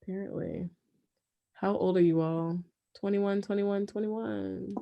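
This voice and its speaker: A woman's voice: mid-range voice, steady tone, unhurried, vocal fry, no room sound, lo-fi sound. A woman in her twenties chatting casually with friends on a livestream, laughing and swearing freely. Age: 20s. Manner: loud, laughing, swearing banter. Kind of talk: casual chat among friends.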